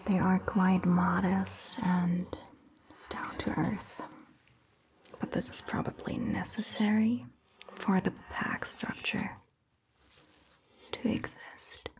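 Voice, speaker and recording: A woman voicing a calm, attentive vampire doctor in a gentle, breathy, mostly whispered ASMR roleplay, speaking in a measured way. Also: on the low side. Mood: calm, musing. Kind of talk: soft-spoken ASMR roleplay.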